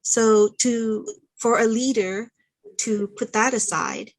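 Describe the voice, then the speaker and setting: Woman from Malaysia, workshop participant, thoughtful, pauses to find words. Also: fairly high pitch. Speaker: workshop participant asking a question.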